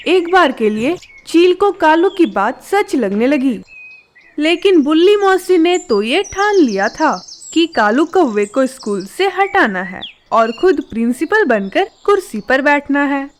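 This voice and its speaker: High-pitched woman narrating a children's story. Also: sing-song, unhurried, slight background noise.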